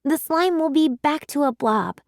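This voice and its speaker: Lady doing an excitable little storybook girl, imaginative, high voice, close dry sound. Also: unhurried, dry recording. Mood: hopeful.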